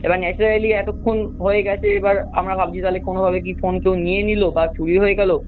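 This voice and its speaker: A man's voice: high voice, level tone, a little fast. A young man with a West Bengali accent, giving a rambling, repetitive, earnest account as a caller over the phone. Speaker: caller recounting strange events at home. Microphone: phone call. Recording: narrow tinny phone line, music behind. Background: music.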